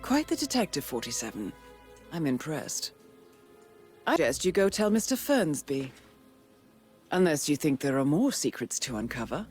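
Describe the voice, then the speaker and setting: A composed, dry-witted mission handler, her voice on the low side but moving freely in pitch. Quiet music sits behind her. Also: normal speed, long pauses. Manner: composed and wry, with a lively pitch. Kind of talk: video game dialogue.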